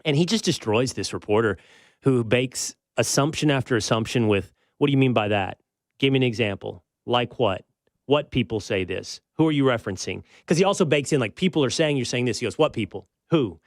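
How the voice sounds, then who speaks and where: A man with an American accent hosting a talk show, giving conversational, emphatic political commentary with pauses for effect. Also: medium pitch, lively intonation, no echo, studio quality. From United States.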